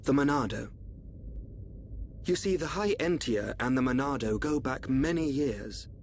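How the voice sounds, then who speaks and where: A man playing a calm, enigmatic royal seer in a video game, formal and assured. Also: mid-range voice, unhurried, slight background noise. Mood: composed, reassuring. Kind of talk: dramatic video game voice acting.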